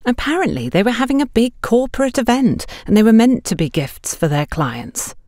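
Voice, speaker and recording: Woman playing young shop assistant, gossipy, knowing, dramatic, raised swooping pitch, audiobook character. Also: big pitch swings, moderate pace, darkish tone, dry recording, clean studio sound. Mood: indignant, confiding. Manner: confiding, dramatic storytelling. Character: chatty, worldly young shop girl confiding gossip.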